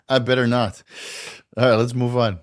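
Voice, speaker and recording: A man in his forties from the Netherlands, with a slight Dutch accent, hosting a casual Q&A stream while tipsy, rambling loosely and swearing freely. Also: mid-range voice. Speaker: host. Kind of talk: casual livestream Q&A.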